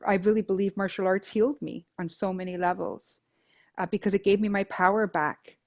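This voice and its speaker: A middle-aged woman from Denmark, a guest on an interview, speaking earnestly about her own life, repeating phrases in lists and pausing with fillers. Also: medium pitch, fairly even intonation, darkish tone. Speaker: guest, Reiki master and life coach. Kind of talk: reflective personal interview.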